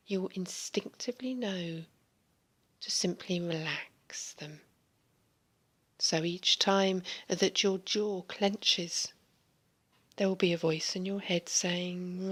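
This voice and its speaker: A woman speaks in a slow, breathy, mostly whispered voice, leading a guided hypnosis for relaxation and sleep. Her pitch sits on the low side, and her pace is very unhurried. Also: a bit bright. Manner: slow, soothing, largely whispered delivery.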